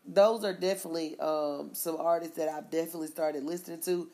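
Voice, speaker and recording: A woman's voice: low voice, steady tone, fairly quick, dark tone. Laid-back woman with a stuffy nose. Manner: laid-back, slangy, laughs often. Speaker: podcast co-host. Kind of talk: casual chat.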